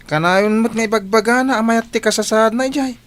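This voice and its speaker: An actor playing an earnest, protective young student, a younger brother, in a radio drama. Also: male voice, high voice, brisk, fairly bright tone. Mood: reassuring.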